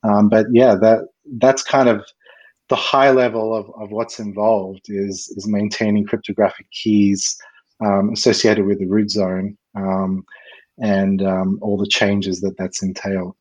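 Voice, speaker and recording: Low-voiced man explaining at measured length. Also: flat delivery, darkish tone, rough recording. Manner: measured, with frequent um and uh fillers. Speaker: guest expert. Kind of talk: technical explanation in an interview.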